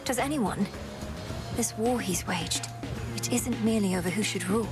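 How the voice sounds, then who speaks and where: An earnest young witch princess, voiced by a woman, measured and quietly resolute, at a middle pitch. She speaks evenly, with game music playing clearly under her. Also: close to an everyday voice. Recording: game dialogue with music behind.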